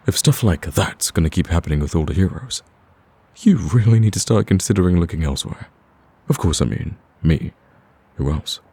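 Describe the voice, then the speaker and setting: A male actor plays a cocky villain hopelessly smitten, wooing in a romantic audio roleplay. His voice is extremely low, dark and husky. He talks in a smooth, teasing way with an earnest, persistent plea underneath. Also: heavily acted. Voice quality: husky, very deep. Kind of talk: romantic ASMR roleplay acting.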